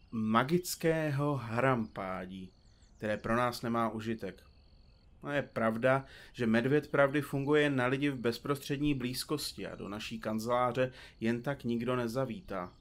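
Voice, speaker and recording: A man plays a theatrical male detective from a comedy radio play, dictating to his recorder. He is wordy and a little pompous, with a medium-pitched voice, and likes to tease. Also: close to an everyday voice. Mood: florid, wry. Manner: florid, pompous, teasing. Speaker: detective in a comedy mystery podcast. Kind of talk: audio drama acting with florid narration.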